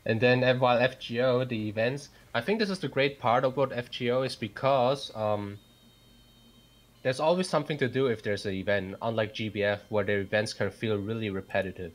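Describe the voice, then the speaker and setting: A man's voice: medium pitch, fairly quick. A man co-hosting a casual gaming podcast, curious and teasing, asking a lot of questions. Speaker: podcast co-host.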